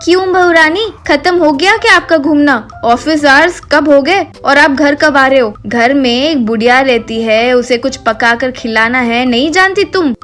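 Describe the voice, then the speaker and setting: Woman acting a scolding, high-voiced old mother-in-law. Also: normal speed, few pauses, a bit bright. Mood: angry sarcasm. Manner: sarcastic, complaining.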